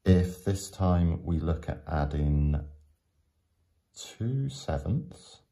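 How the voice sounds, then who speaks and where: Male tutor for step-by-step lesson narration: very low pitch, gravelly creak, patient explanatory delivery. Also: animated, warm tone. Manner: patient, explanatory, with frequent pauses. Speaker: teacher.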